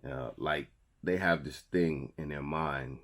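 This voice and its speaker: Very deep-voiced New York man. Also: slow pace, a bit bright. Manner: conversational, emphatic, repeats key phrases. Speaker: host giving a weekly motivational talk. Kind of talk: motivational talk to camera. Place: small indoor studio with a logo wall.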